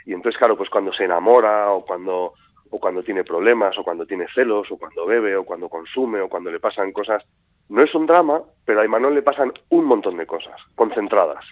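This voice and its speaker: Animated male storyteller, low voice, dry close sound. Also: a little fast, dry recording. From Madrid. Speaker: guest author. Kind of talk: radio interview. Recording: tinny phone-like radio sound.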